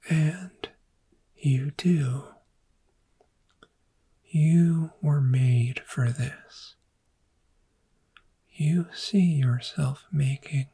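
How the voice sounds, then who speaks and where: A woman playing a calm, gentle dragon therapist, speaking in hushed, breathy, slow and soothing ASMR guided imagery. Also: low voice, heavily acted. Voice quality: breathy, whispery.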